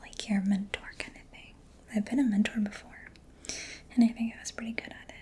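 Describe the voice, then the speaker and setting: A woman whispering in a breathy, gentle, unhurried murmur for an ASMR roleplay, close to the microphone. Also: medium pitch, flat delivery. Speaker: ASMR creator playing a robot mechanic. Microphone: close-up sensitive microphone. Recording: close-up whisper with clear background noise.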